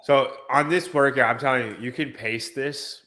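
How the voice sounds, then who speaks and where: Male podcast host, bright tone, stuffy nasal cold, joking coach energy. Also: mid-range voice, steady tone, normal speed. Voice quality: slightly nasal. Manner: teasing, coach-like. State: congested from a cold. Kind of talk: casual chat.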